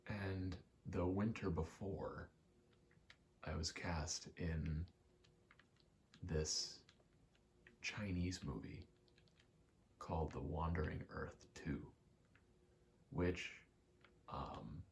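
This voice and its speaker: A man's voice: low-pitched, drawn-out words, gravelly, long pauses, a little hiss or hum. A young man giving a casual, meandering ASMR ramble while gaming, often interrupting himself in an understated way. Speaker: ASMR creator.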